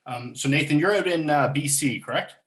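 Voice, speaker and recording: A man's voice: on the high side, brisk. A man from Newfoundland hosting a recovery podcast, chatting in an easygoing way. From Corner Brook, Newfoundland. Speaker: podcast host. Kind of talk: casual podcast chat.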